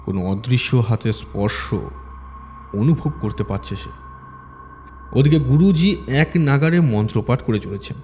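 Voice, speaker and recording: Male horror audiobook narrator: dark, soft-edged tone, mid pitch, measured dramatic pacing, long reverb over music. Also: lively intonation, a little hiss or hum. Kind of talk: scripted ghost story narration.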